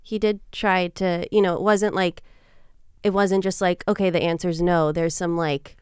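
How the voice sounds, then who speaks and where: A woman's voice: fairly low pitch, level tone, moderate pace, a little mellow, clear, resonant tone, dry recording, studio quality. Giggly woman in her thirties. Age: 30s. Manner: giggly, storytelling. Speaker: podcast guest. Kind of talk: casual chat.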